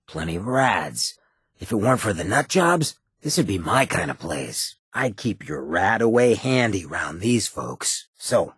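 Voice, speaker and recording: An actor playing a roguish, cynical charmer of a town mayor in a video game, with a raspy, gravelly voice and a cocky, slangy drawl. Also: male voice, fairly low pitch, no echo, clean studio sound, heavily acted. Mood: wry, musing. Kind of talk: video game character dialogue.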